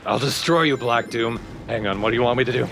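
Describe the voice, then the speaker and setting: A man's voice: mid-range voice, quick pace, gravelly, background noise. A man giving casual let's play commentary as the player, with dry, wry asides.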